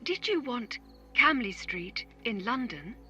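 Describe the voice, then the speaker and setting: Digital assistant, female, crisp bright tone, measured, polite, impersonal, dull device-speaker sound, audio drama. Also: a little high, big pitch swings, soft background music, exaggerated voice. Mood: helpful. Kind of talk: audio drama acting, scripted read-out.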